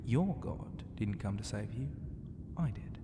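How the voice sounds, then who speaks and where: An immortal ocean god in dragon form, played by a man, speaks in a low, very unhurried voice that often sinks into breathy whispers. He is regal, smug and teasingly flirtatious, courting the listener in an ASMR roleplay. Long reverb surrounds every phrase. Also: lively intonation. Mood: smug, possessive. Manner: slow, breathy delivery often dropping to whispers. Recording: long cavernous reverb, clear ambient noise.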